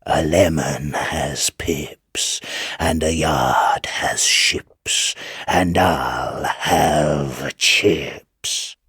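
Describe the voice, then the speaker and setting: A man voicing a sly, menacing devil in a storybook reading, breathy and whispery, with slow, drawn-out, sinister delivery. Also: a bass voice, fairly bright tone, dry recording, studio quality, voice pushed down, heavily acted. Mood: ominous chanting. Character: sly, menacing male devil chanting rhymes.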